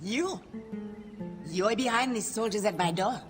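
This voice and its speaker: An actress playing a weary, guilt-laden relic trader in a video game, grave and portentous. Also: female voice, mid-range voice, a little slow, music in the background, lightly acted.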